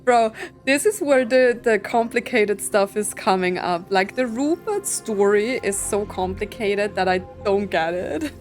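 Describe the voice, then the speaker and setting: Casual woman gamer thinking out loud. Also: mid-range voice, expressive, unhurried, hardly any pauses, soft background music. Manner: informal, thinking aloud, questioning. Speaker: gaming video creator reacting to a story quest. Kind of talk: casual live gameplay commentary.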